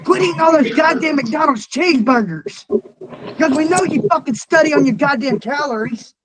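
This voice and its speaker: A young man in his twenties with a strikingly high voice co-hosts a loose fan interview. He talks bluntly and swears freely, and he is quick to launch into a rant. A faint noise sits under his voice. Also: animated. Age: 20s. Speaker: podcast co-host. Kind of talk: casual interview chat.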